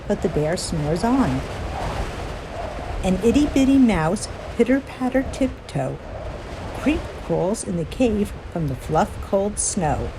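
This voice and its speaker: A woman reading a children's picture book aloud in an animated, deliberate storytelling manner with playful emphasis. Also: medium pitch, background noise. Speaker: storybook reader.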